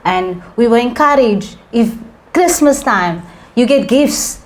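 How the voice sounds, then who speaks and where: Gentle woman designer. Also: on the high side, animated. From Cape Town, South Africa. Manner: soft-spoken, gentle, many "um" pauses. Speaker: fashion designer guest. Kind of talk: interview answers.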